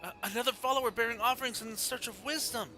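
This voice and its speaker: A man's voice: very high-pitched, fast, pushed and bright, soft background music, pitched above the performer's own voice, full character voice. A man voicing a zealous, pleading disciple in a theatrical, exclamatory manner for a fantasy game story. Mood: reverent, proclaiming. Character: zealous, pleading disciple crying out to an ancient wisdom tree.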